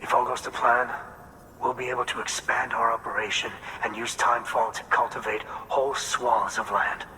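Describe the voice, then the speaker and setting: An actor playing a hardworking, hopeful farm leader in a video game, speaking in a folksy, earnest, measured way. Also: male voice, little pitch movement, sharp tone, close to an everyday voice. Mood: grateful, hopeful. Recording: long hall reverb, faint rumbling noise.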